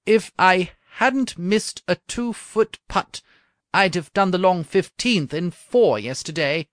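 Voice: mid-range voice, lively intonation, slow pace, no echo, clean studio sound, pitched above the performer's own voice. An audiobook reader voicing a lovestruck young king who talks in flowery, old-fashioned phrases. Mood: excited.

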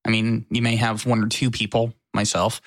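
A man's voice: on the low side, monotone, moderate pace, no room sound, clean studio sound. An American man co-hosting a podcast in casual, wry and self-deprecating conversation. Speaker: podcast co-host. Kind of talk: casual chat.

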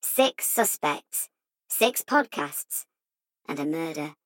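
A woman reading as an insinuating true-crime podcast host, in deliberate, dramatic scripted audiobook narration. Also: deep voice, animated, a bit bright, no echo, exaggerated voice. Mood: teasing intrigue.

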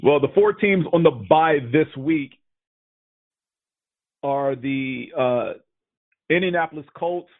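A man's voice: on the high side, slow, pauses often, no room sound. A man co-hosting a casual sports podcast, going over injury news and power rankings. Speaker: podcast co-host. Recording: tinny phone-like dry sound.

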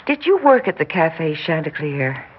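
A woman's voice: low-pitched, very animated, moderate pace, breathy edge, full character voice. An actress playing an earnest, insistent woman caseworker in a 1960s radio drama. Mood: firm. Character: determined, pleading woman welfare caseworker. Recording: dull 1960s radio recording.

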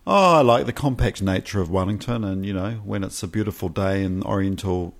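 A man from New Zealand with a Kiwi accent, a documentary maker talking as a guest in a casual chat, measured and reflective. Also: sits low, vocal fry.

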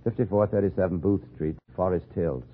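A man's voice: sits low, steady tone, brisk, breathy edge. An actor playing a bitter, driven framed man in a 1950s radio thriller, speaking in a terse, brooding, intense way. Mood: evasive, guarded. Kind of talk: 1950s radio thriller acting and first-person narration. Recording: muffled vintage radio, music behind.

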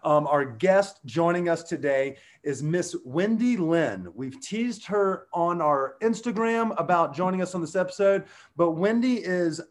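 Excitable high-voiced podcast host. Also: male voice, measured pace, hardly any pauses. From US South. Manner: excitable, loud bursts, Southern slang like y'all. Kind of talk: casual podcast chat and sponsor read.

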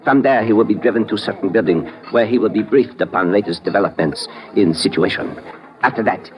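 An actor playing a polite, menacing Japanese fanatic in a 1950s radio drama, with a Japanese accent and formal, article-dropping phrasing. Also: male voice, on the low side, brisk, dull audio, faint music behind, close to an everyday voice. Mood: courteous, calculating. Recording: noisy vintage 1950s radio recording.

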